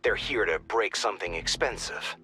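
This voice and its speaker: An actor playing a brisk, wry mission controller giving informal, urgent dispatches in a game. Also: male voice, lowish voice, pushed and bright. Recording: music under the voice.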